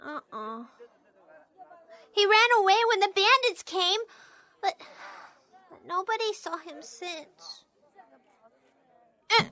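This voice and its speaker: A woman's voice: very high voice, big pitch swings, takes it slowly, long pauses, pushed and bright, heavily acted. A woman playing an innocent, curious little child in an audio drama, with a small, hesitant voice. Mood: timid, curious.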